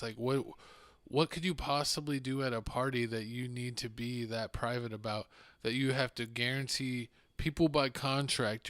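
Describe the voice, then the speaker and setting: A man's voice: medium pitch, a bit bright, clear, resonant tone, dry recording. A man co-hosting a podcast, giving casual commentary in an incredulous, speculative manner. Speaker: podcast co-host.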